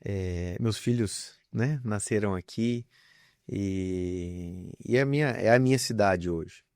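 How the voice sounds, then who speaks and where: A man's voice: fairly low pitch, slow, darkish tone, dry recording. Earnest man talking in a dry room. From southern Brazil. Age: adult with young children. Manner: earnest, reflective, many restarts. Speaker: business founder, guest. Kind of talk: casual interview conversation.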